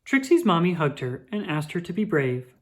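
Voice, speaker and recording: Female storyteller for picture-book read-aloud: low register, highly animated, dramatic emphasis. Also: moderate pace. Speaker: children's book reader.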